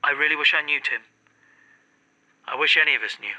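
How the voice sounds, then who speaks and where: A man's voice: on the high side, fairly quick, noisy background, close to an everyday voice. A man acting a harried, evasive official on a phone call in an audio drama, firm and businesslike. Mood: pressing, weary.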